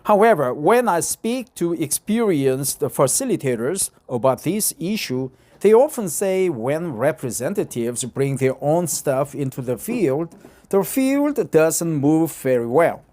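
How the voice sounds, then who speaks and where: A middle-aged Korean man with a Korean accent, reading an article aloud in English to practice, halting with many fillers and repeating phrases. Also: a little high, sing-song, mellow. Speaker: vlogger.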